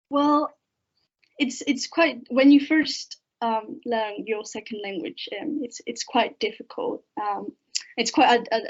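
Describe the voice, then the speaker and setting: A teenage student from Spain, a native Spanish speaker, answering hesitantly with many pauses and restarts on a video call. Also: female voice, on the high side, husky. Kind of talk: casual video-call discussion. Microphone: laptop microphone on a video call. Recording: dull lo-fi laptop audio.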